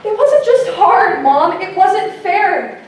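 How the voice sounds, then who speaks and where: A woman plays a sulky, wounded preteen girl with a high voice, full of self-doubt, in a staged musical reading. She is heard from far off in a very echoey room. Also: sing-song, takes it slowly, full character voice. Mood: hurt, angry. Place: live staged reading in a hall. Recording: roomy stage recording from far off, faint noise.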